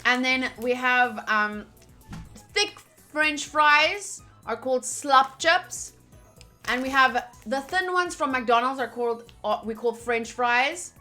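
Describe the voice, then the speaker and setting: Game streamer: woman, fairly high and bright, alternates read-aloud narration with informal chat. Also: takes it slowly, soft background music. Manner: informal, chatty asides. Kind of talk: reading game text aloud with casual chat.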